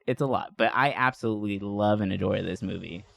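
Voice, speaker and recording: A young man talks close to the mic in a very dry recording with no room sound. He hosts a podcast and retells movies casually and comically. His delivery is animated, full of slangy asides and swearing. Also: on the low side, fast, vocal fry, no echo. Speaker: podcast host. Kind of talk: casual comedic movie recap.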